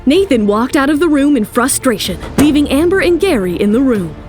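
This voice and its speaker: A woman's voice: sits high, sing-song, brisk, a little mellow, faint music behind. A woman narrator giving a scripted, dramatic telling of a story.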